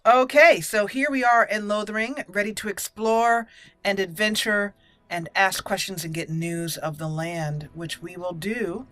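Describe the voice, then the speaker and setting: Bright-voiced woman hosting a game playthrough. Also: medium pitch, unhurried. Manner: chatty, playful asides. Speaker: gaming video host. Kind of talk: roleplay game commentary, partly reading dialogue choices aloud.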